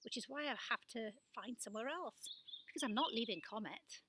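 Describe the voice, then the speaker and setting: A woman's voice: a little high, normal speed, background noise, natural voice. A woman voicing a frank, loyal mountain boy in a storybook, speaking directly and expressively. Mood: frustrated, sincere. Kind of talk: storybook character voice.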